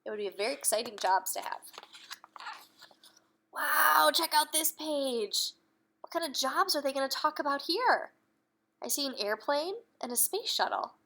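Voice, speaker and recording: A woman reading a children's story aloud in an animated manner, pausing to put questions to young listeners. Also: high-pitched. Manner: animated, addresses children directly. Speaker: picture-book reader.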